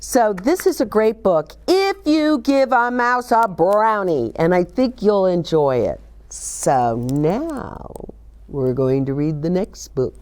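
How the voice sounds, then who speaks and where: Unhurried, animated woman children's story host. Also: mid-range voice. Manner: expressive, talks directly to young listeners, asks questions. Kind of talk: read-aloud and chatty book talk for children.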